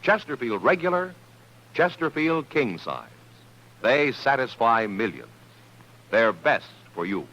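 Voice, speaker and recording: Old timey radio ad guy, forceful and super animated, punchy sales read, muffled sound. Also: medium pitch, takes it slowly, pauses often, slight background noise. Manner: upbeat, punchy salesman delivery. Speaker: commercial announcer. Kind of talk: 1950s radio advertisement read. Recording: dull vintage radio recording.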